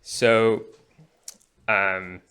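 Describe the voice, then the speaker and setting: A young man from Alabama in the United States giving a personal testimony, often halting and restarting his phrases. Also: on the low side, flat delivery, fairly bright tone, clean tone. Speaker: church member giving testimony.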